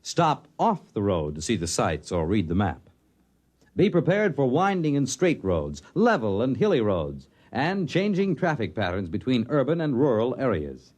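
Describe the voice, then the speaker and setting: A man announcing in 1950s radio style, reading scripted material in a measured, instructive manner. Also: medium pitch, huge pitch swings, darkish tone. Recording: restored vintage radio recording.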